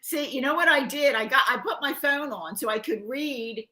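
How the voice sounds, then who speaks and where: Chatty older woman, bright voice. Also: sits high, animated, moderate pace. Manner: chatty, laughs often, reads viewer comments aloud. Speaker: co-host, grandmother. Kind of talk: casual chat on a live show.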